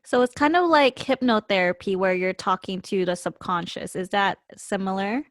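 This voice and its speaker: A woman's voice: medium pitch, normal speed, no room sound, studio quality. A young woman hosting a podcast interview, chatty and casual, with frequent "like"s as she asks questions. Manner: casual, chatty, says "like" often, laughs between questions. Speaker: podcast host.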